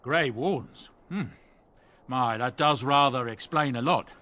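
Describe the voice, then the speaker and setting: A man's voice: mid-range voice, unhurried, bright, forceful tone. An actor playing a jovial, talkative dwarf merchant with folksy salesman patter in a video game. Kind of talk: video game cutscene dialogue.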